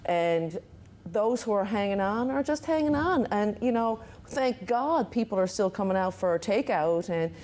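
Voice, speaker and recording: A woman's voice: mid-range voice, animated, moderate pace, darkish tone. A woman speaking as a guest in a studio interview, promoting a cause emphatically and persuasively, repeating key words. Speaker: interview guest, downtown business association representative.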